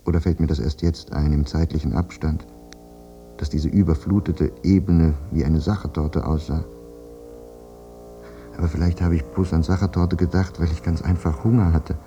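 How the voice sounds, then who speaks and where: An actor playing a haunted, war-maimed soldier who recalls the war in a reflective, self-questioning radio drama monologue. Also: male voice, sits low, mellow, close to an everyday voice. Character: war-maimed soldier brooding over his memories, haunted, plain-spoken. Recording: muffled radio play recording with music. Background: music.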